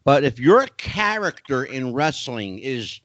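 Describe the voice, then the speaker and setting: Excitable podcast host, dry dull sound. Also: male voice, medium pitch, unhurried, no echo. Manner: excitable, keeps interjecting. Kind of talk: casual podcast panel chat.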